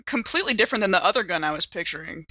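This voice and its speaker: A woman's voice: lowish voice, expressive, very fast, pushed and bright, dry recording. A woman playing in a tabletop audio drama, bantering and narrating playfully in a casual way.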